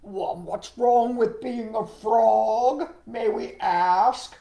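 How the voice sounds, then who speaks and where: A woman voicing an emphatic, self-important male chief frog from a children's storybook, with drawn-out formal phrasing. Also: a little high, very animated, gravelly, dark tone, pitched above the performer's own voice, full character voice. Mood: indignant. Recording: echoey room.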